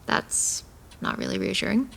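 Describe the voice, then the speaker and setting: A woman's voice: normal speed, fairly bright tone, slight background noise, lightly acted. A woman playing a guarded, wry young woman who sees monsters in an audio drama, with an understated delivery. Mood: guarded, questioning. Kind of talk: audio drama acting with first-person narration.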